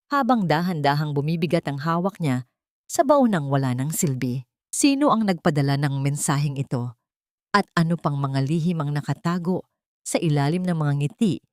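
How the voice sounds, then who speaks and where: Low-voiced woman narrating a solemn drama. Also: darkish tone, no echo, clean studio sound. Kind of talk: scripted dramatic story narration.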